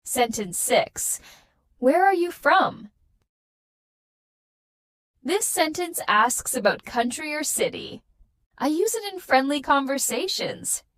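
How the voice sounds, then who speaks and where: A woman leads a beginner English lesson, her fairly high voice rising and falling a great deal. She speaks slowly and spaces every phrase carefully. The sound is close and dry, with no room around it. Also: very animated, a bit bright, dry recording. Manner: slow, carefully spaced, patterned sentences. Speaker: English teacher.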